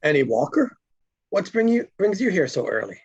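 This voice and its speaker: A man's voice: high voice, very animated, moderate pace, breathy edge, dry recording, dull audio, exaggerated voice. A man playing a friendly, eager, awkward police officer in a comedy table read, casual and slightly stumbling.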